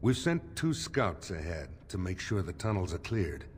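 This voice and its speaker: An actor playing an authoritative dwarf lord in a fantasy game, speaking formally and in measured phrases. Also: male voice, low voice, sing-song, a little hiss or hum. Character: authoritative male dwarf lord briefing troops.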